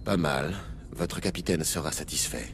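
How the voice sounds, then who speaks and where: Solemn alien agent, super deep voice, game cutscene, bit of background hum. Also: male voice, normal speed. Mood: cool approval. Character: solemn, authoritative alien special agent aboard a warship. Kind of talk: video game voice acting.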